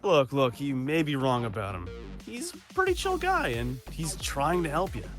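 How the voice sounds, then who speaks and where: A goofy young-at-heart hero played by a man with a very animated voice. He is casual and good-natured in this audio drama, with music clearly behind him. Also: mid-range voice, moderate pace, close to an everyday voice. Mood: placating. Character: goofy, good-natured male hero who wields meat.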